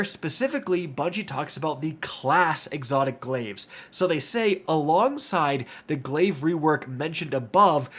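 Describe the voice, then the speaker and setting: A man's voice: on the high side, slightly rough. A man with a nasal, stuffed-up voice giving game update commentary as a video host, talking straight to his viewers. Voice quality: nasal, congested. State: congested with a stuffy nose. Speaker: gaming video creator and host.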